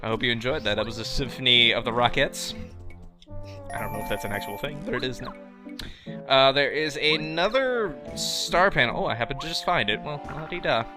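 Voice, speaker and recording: A man's voice: mid-range voice, lively intonation, brisk. A young man casually commenting on a video game in a rambling, joking, self-mocking way, over game music. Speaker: let's play host.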